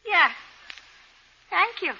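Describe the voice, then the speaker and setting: An actress playing a practical, wisecracking working woman, sardonic and brisk, in a 1950s radio comedy-drama. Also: extremely high pitch, sing-song, vocal fry, noisy background, exaggerated voice. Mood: brisk, businesslike. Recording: dull old radio recording.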